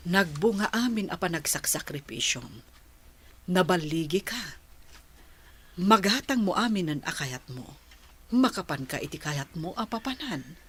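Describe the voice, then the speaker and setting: Low, husky older mother. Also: expressive, a little slow, long pauses, a bit bright, heavily acted. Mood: gentle, pleading. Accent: Ilocano. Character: gentle, self-sacrificing older adoptive mother. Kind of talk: Ilocano radio drama acting.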